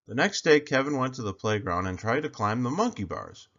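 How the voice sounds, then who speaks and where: A man reads a picture book aloud as a storyteller, with very animated, swinging intonation. His voice sits at an average pitch, and the recording is slightly dull. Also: a little fast. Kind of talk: expressive children's picture book narration.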